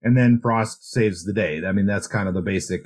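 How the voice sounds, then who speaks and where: A man co-hosting a podcast, talking through a casual book discussion in a conversational, measured way. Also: lowish voice. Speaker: podcast co-host.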